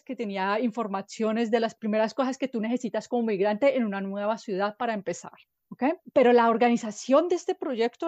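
Colombian-born woman explaining at a measured pace. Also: on the high side, a little mellow, no echo, lo-fi sound. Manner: explanatory, measured, with fillers and restarts. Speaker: guest from an NGO. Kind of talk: interview answers.

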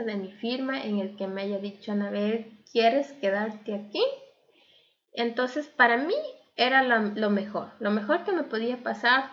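A woman's voice: a little high, dull audio. A young adult woman living abroad, speaking candidly and thoughtfully in a casual interview, with many fillers. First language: not German. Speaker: interview guest.

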